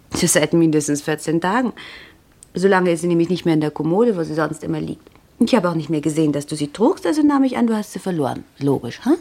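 Radio-play wife, female, lowish breathy voice, affectionate and rambling;. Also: lively intonation, fairly quick, a little mellow.

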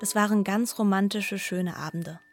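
A woman's voice: medium pitch, moderate pace, dry recording, close to an everyday voice. An actress playing a housemaid in a radio play, telling her memories simply and fondly. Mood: nostalgic. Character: housemaid fondly recalling cosy evenings. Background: music.

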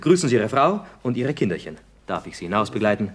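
An actor playing a brash, quick-witted young gentleman actor, husky and mock-grand, in a 1960s German radio comedy. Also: lowish voice, big pitch swings. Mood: grand, reflective. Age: young adult. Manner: theatrical, glib, mock-grand.

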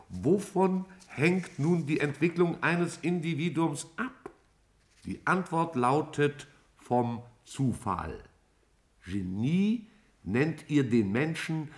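A man playing a vast alien cloud intelligence in a 1950s German radio play, speaking in a formal, measured, didactic way. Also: mid-range voice, lightly acted.

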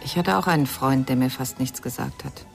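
A woman's voice: a bass voice, brisk, vocal fry. Missing man's mother, reflective, sorrowful, stubbornly hopeful, radio crime drama, light background music.

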